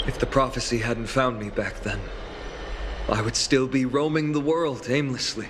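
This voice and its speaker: An actor playing an earnest young fantasy hero in a video game, speaking sincerely and politely. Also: male voice, mid-range voice, fairly even intonation, normal speed, noisy background, lightly acted. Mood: solemn resolve. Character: earnest young male hero resolved to bear divinity.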